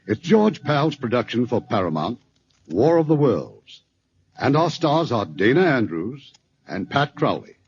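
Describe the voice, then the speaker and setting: A man's voice: medium pitch, very animated, measured pace. A man hosting a 1950s radio drama, introducing the evening's play with genial, wry showman's patter. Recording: muffled 1950s radio broadcast with faint hiss.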